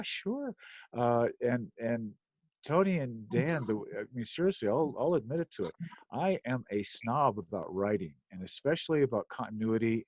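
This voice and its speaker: A man with a medium-pitched voice chats casually on a group call. He tells his story haltingly, often doubling back on words. The audio is dry and a bit muffled. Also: a little mellow, no room sound. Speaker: volunteer audio drama voice actor. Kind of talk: casual anecdote on a group live stream.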